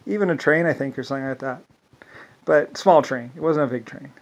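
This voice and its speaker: A man's voice: mid-range voice, a little fast, gravelly. A man telling a long, meandering solo monologue, restarting often and circling back with repeated asides.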